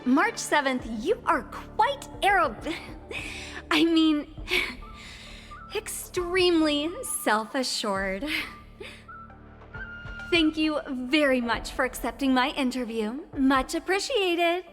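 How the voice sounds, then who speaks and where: A courteous young interviewer asks her questions politely in a high, light voice. Her lines sit in long reverb over clearly audible game music. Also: animated, takes it slowly, fairly bright tone, full character voice. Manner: courteous and formal, slightly teasing. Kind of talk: game cutscene dialogue.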